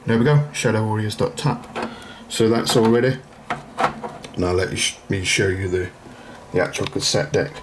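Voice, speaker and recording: Male tutorial host, low register, plain informal step-by-step delivery, some background noise. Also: normal speed, pauses often. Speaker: video host demonstrating a retro computer repair. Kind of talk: informal hands-on tutorial narration.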